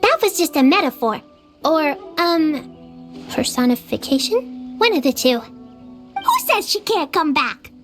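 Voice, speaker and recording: Tiny girl adventurer, extremely high childlike pitch, bouncy intonation, bubbly, video game. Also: big pitch swings, a little slow, long pauses, fairly bright tone, soft background music, exaggerated voice. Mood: chirpy, reasoning.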